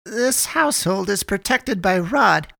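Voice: mid-range voice, lively intonation, unhurried, vocal fry, sharp tone, no echo, clean studio sound, voice pushed up, exaggerated voice. A narrator voicing a devout, stubborn old village mother in a fantasy audiobook, declaiming solemnly. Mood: defiant, devout.

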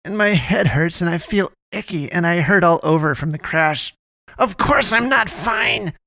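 A man's voice: a little high, fast, no room sound, studio quality, voice pushed up, close to an everyday voice. A man voicing an angry, distressed young woman in a story, with a snappy, whiny, emphatic delivery. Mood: sarcastic, angry.

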